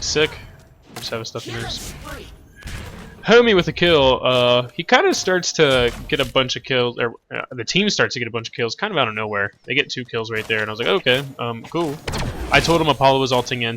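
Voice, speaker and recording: Bright-voiced young man with a stuffy nose. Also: medium pitch, brisk, a little hiss or hum. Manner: relaxed, rambling, many fillers and self-corrections. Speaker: gameplay commentator. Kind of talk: casual gameplay commentary.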